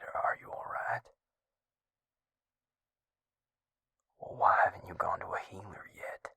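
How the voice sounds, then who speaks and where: A gruff, protective vampire healer played by a man murmurs in a low drawl for an intimate roleplay audio. The voice is very breathy and half-whispered, close and dry. He comes across as blunt but tender, with an exasperated, caring edge. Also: pushed and bright, dry recording, exaggerated voice. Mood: concerned, blunt.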